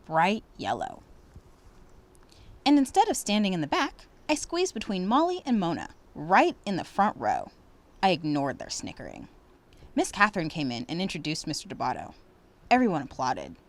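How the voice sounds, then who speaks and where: A woman reading a children's storybook aloud in an expressive, dramatic way. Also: lowish voice. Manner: animated, dramatic. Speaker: storybook reader.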